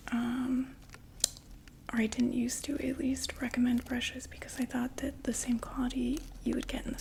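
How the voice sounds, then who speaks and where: A woman voicing a chatty undead vampire makeup saleswoman in a soft, gently persuasive ASMR whisper. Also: on the high side, vocal fry. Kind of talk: ASMR roleplay.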